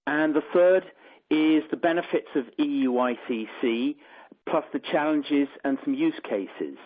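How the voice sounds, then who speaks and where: Man moderating over a tinny phone line. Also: a little high. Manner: measured, structured hand-offs to panelists. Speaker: panel moderator. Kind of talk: webinar panel moderation.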